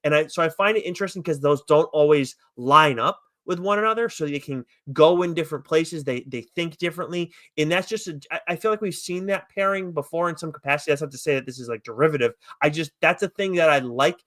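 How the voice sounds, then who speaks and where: A man with a fairly high voice hosts a casual review podcast. He rambles along with enthusiasm and swears loosely as he goes. The sound is very dry, with no room on it. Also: fast talker, few pauses, fairly bright tone, no echo. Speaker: podcast co-host reviewing comics.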